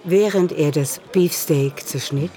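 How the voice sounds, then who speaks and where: A woman's voice: low voice, slow, warm tone, faint music behind. A woman reading scripted literary narration as the narrator of a radio drama.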